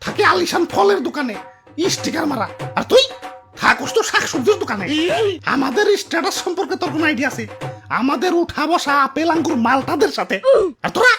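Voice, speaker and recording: A man's voice: very high-pitched, animated, fast, over music. A man playing a haughty, scornful rich orange fruit father in a comic cartoon, with a Bangladeshi accent and a pompous manner. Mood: scornful outrage. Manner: pompous, scolding, sneering. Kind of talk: exaggerated comic cartoon acting.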